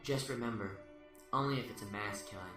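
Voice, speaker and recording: A man's voice: lowish voice, brisk, close to an everyday voice. A man acting a smug, taunting serial killer who philosophizes without remorse in an amateur radio play. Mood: smug, boastful. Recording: roomy, distant-mic sound under loud music.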